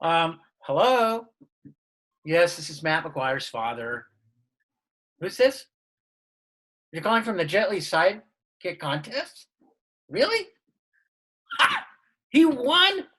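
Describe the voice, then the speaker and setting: A man reading an excitable, proud father in a sitcom script, with a husky voice. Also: high voice, slow, pauses often, full character voice. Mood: surprised, excited. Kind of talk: script table read.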